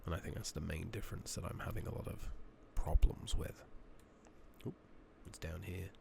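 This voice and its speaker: A soft-spoken man giving ASMR game commentary, often dropping to a whisper, murmuring unhurriedly to himself. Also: sits low, moderate pace, creaky. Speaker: ASMR gaming streamer. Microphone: USB condenser microphone on a tripod with pop filter.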